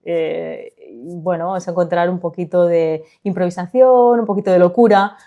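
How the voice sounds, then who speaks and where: A woman's voice: on the low side, normal speed, phone-quality sound. A woman with a Spanish accent from Spain, chatting expressively about her story and then narrating it into a studio microphone. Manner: lively, expressive, gesturing while talking. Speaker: author reading her own story.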